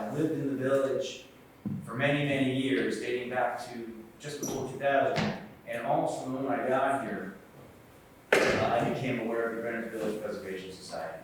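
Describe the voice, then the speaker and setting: A man who is an author and guest speaker, talking loudly and earnestly to a live audience with a lot of ums. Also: lowish voice, background noise. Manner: loud, earnest, rambling with fillers. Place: library event room. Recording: very echoey room, from far off.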